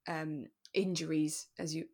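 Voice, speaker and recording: A woman co-hosting a podcast in relaxed, conversational style, talking thoughtfully and a little tentatively. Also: low-pitched, a little slow. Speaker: podcast co-host.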